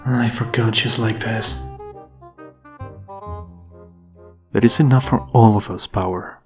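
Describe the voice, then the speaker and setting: Man acting a low-voiced serious young devil hunter. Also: normal speed, darkish tone, soft background music, natural voice. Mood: exasperated. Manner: serious, scolding, earnest. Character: stern, responsible young male devil hunter, exasperated leader. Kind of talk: fan comic dub, dramatic acting.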